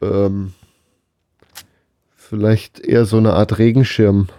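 A man's voice: lowish voice, level tone, slow pace, mellow, no echo, studio quality. A man chatting casually as a podcast co-host, with laid-back, wry joking. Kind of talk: casual chat, bantering Q&A.

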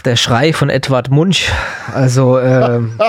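A man's voice: medium pitch, fairly even intonation, slow pace, dry recording. A man co-hosting a casual podcast, talking in a spontaneous, jokey way and prone to chuckling. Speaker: podcast co-host. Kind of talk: casual humorous podcast chat.

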